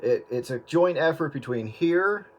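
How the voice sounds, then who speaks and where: A man's voice: on the high side, animated, unhurried. A man chatting casually about editing old video, rambling with many fillers and restarts. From US Northeast. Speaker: video editor. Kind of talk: casual chat.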